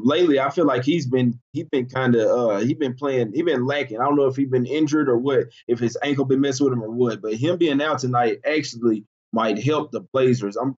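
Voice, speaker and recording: A man with a husky, rough voice talks through sports bets in a relaxed, hesitant way, often trailing into fillers. The sound is muffled and lo-fi. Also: mid-range voice. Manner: laid-back, hesitant, full of fillers. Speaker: sports betting co-host. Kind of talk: casual sports betting chat.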